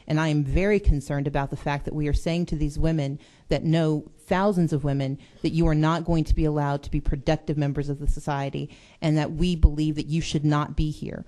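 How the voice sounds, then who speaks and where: A woman's voice: deep voice, mellow. A woman legislator giving an earnest, persuasive speech in a committee hearing. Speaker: state legislator.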